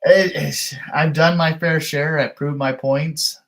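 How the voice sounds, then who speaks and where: A man's voice: on the high side, steady tone, takes it slowly, creaky. Down-to-earth man in his thirties. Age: 30s. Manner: folksy, down-to-earth, colloquial. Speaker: guest, outdoor camping creator. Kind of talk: casual live-stream chat about camping.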